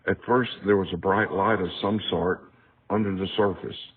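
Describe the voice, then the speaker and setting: Deep, husky man reading through tinny audio. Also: fairly even intonation, moderate pace. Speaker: author reading a book excerpt. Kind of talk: scripted narration, audiobook reading of a memoir. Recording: tinny, phone-like narrow band.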